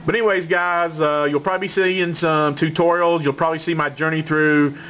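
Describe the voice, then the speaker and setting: A man's voice: on the high side, steady tone, fairly quick, fairly bright tone, slight background noise. Male channel host with a cold, congested, chatting casually and bluntly to camera with frequent fillers. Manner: rambling, frank, with many fillers and restarts. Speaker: hobby gaming channel host. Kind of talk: casual hobby update to camera.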